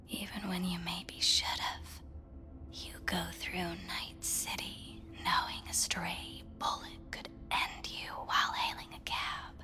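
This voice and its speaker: An actress playing a calm, enigmatic woman counsellor in a video game, speaking in a breathy, soothing, measured way. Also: on the low side. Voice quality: breathy, whispery. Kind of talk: cinematic video game acting. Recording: music playing behind the voice. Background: music.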